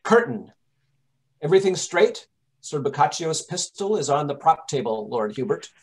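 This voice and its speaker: A man playing a brisk, impatient gentleman detective giving orders in old-time radio drama style. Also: on the high side, big pitch swings. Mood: brisk, commanding. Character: brisk, commanding, impatient English gentleman detective directing rehearsal. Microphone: video call.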